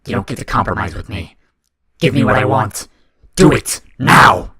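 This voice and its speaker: Man voicing a menacing ghostly child, swooping pitch. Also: expressive, vocal fry, bright, forceful tone, breathy edge, dry recording, studio quality, exaggerated voice. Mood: angry, demanding. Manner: formal, taunting, deliberate phrasing. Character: menacing ghostly child of darkness, cold, mocking, demanding. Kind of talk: dramatic audio fiction character reading.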